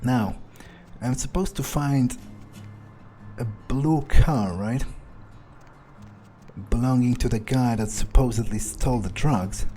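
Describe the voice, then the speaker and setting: A man's voice: mid-range voice, slow pace, pauses often, warm tone, soft background music, close to an everyday voice. A man playing a cynical, callous young vampire in an in-character game playthrough, musing aloud in a hesitant, dismissive way. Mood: pensive, puzzled. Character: callous, cynical young male vampire, foul-mouthed loner.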